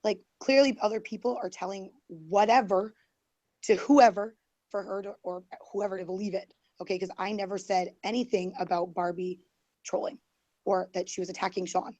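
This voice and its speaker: A woman with a mellow, dark tone, her voice worn and fading. She rambles defensively, full of "like" and "um" and restarts. The sound is dry and close. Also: mid-range voice, fairly even intonation, normal speed, no echo. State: losing her voice. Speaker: guest. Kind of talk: heated online group call.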